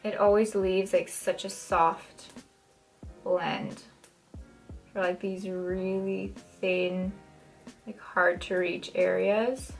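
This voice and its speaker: A young woman with a raspy, dry-throated voice, talking viewers through a makeup tutorial in a chatty, casual way. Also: medium pitch, little pitch movement, slow pace, pauses often, faint music behind, low-quality recording. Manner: chatty, many "like" fillers, shifts pace often. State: dry throat, maybe catching a cold. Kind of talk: casual step-by-step tutorial talk. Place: home, in front of a mirror.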